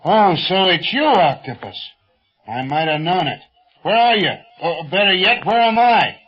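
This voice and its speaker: High, swooping, scrappy pilot sidekick. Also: male voice, animated, moderate pace, husky, faint music behind. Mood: defiant, indignant. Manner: blustering, slangy, exclamatory. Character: scrappy, hot-headed, folksy male pilot sidekick, defiant. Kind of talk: 1930s radio serial acting. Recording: muffled 1930s radio recording.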